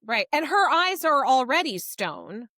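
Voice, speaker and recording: A woman's voice: sits high, big pitch swings, unhurried, sharp tone, no echo, studio quality. A woman co-hosting a book podcast, explaining things in a casual, conversational way and animatedly paraphrasing characters. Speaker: podcast co-host reviewing books.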